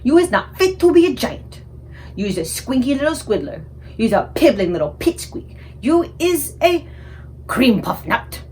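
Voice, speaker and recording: A woman as a savage male giant, booming bully, wildly swooping pitch, storybook villain, slight background noise. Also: sing-song, normal speed. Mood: furious. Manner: booming, blustering, shouting. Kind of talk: children's storybook read-aloud.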